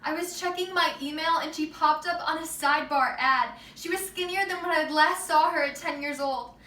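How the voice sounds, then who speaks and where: A woman's voice: high-pitched, moderate pace, pushed and bright. A woman playing a grieving but steadfast mother of a missing child in a rehearsed stage monologue. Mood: distraught. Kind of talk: rehearsed readers theater monologue. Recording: roomy distant mic with background noise.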